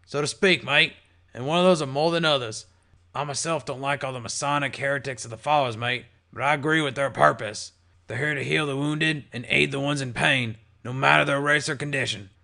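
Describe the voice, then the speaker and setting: A man putting on a gravelly ghoul pony. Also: mid-range voice, brisk, pushed and bright. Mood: friendly. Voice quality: gravelly, chummy, calls everyone mate. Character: gravelly old male ghoul pony bartender, jovial. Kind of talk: audiobook character voice.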